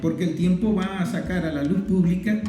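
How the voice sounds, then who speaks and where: A man with a high, very mellow voice gives an emphatic political monologue. He stacks repeated phrases into lists. The room echoes strongly, and faint guitar music plays behind him. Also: fairly quick. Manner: emphatic, repetitive, building lists. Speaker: political commentator, host. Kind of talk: impassioned political monologue to viewers.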